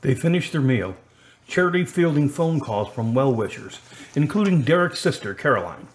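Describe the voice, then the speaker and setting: A man narrating a radio drama as a composed storyteller, in a measured, matter-of-fact way. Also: on the high side, big pitch swings, a little hiss or hum. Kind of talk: scripted narration.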